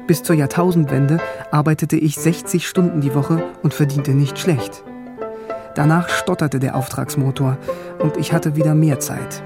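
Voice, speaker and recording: An actor playing a middle-aged East German language lover, a dryly wry former conscript, in a radio drama with first-person narration. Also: male voice, fairly high pitch.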